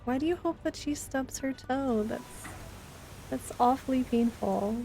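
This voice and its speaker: Higher-pitched, mellow woman livestreamer. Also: measured pace, gravelly, rough recording. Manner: chatty, giggly, reacting to chat and game. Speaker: video game livestreamer. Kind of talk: casual livestream chat while gaming.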